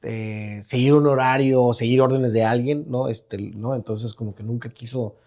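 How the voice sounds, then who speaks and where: A man's voice: medium pitch, steady tone, normal speed, darkish tone. A middle-aged man with a Mexican Spanish accent, a guest on a podcast in his studio, chatting casually with frequent restarts and tag questions. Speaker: guest entrepreneur. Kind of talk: casual interview talk.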